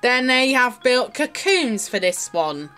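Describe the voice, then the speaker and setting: A woman's voice: a little high, big pitch swings, slow, pushed and bright. A woman giving casual, rambling commentary as the host of a toy showcase video. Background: music and the toy's sounds behind the voice.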